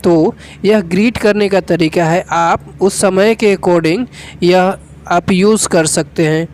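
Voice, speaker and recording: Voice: mid-range voice, takes it slowly, darkish tone. A teacher with an Indian accent, giving an instructional lesson step by step in a methodical, repetitive way. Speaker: English teacher.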